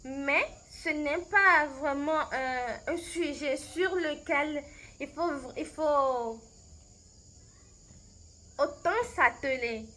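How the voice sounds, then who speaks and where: A woman's voice: high voice, drawn-out words, bright, forceful tone. A woman from Benin with a Beninese French accent, a language coach answering interview questions in a thoughtful way, with many hesitations and restarts.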